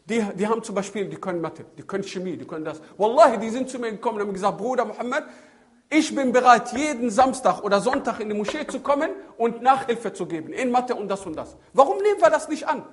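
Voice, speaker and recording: A man, middle-aged, preaching a religious sermon in an animated storytelling way, acting out dialogues, repeating himself and asking rhetorical questions, with Arabic phrases mixed in. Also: very high voice, fast talker. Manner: code-switching into Arabic phrases. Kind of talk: religious sermon on raising children.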